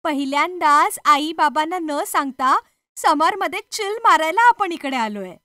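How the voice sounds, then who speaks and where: An actress playing an excitable, sceptical young woman with a teasing, questioning manner in a horror story. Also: high-pitched, measured pace, dry recording, studio quality, heavily acted. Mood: teasing, curious.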